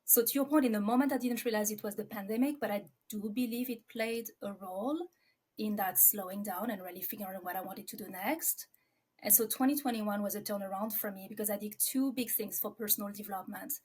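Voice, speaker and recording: French lady in her 40s, french accent, chatting thoughtfully as an interview guest, mid-pitched voice. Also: a little fast, darkish tone, breathy edge. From France. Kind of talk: reflective interview conversation.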